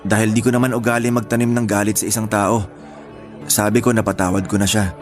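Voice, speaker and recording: A man playing a quiet, gentle homebody in radio drama dialogue, talking in a casual, matter-of-fact way. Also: on the low side, little pitch movement, quick pace, lightly acted. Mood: reflective. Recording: faint music under dialogue.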